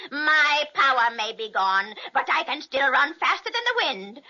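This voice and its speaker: An actress playing a spiteful, cackling old witch in a theatrical children's radio serial, shrill and menacing. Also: big pitch swings, normal speed, pushed and bright, full character voice. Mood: bitter, spiteful. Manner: theatrical, menacing incantations and threats. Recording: muffled old radio recording.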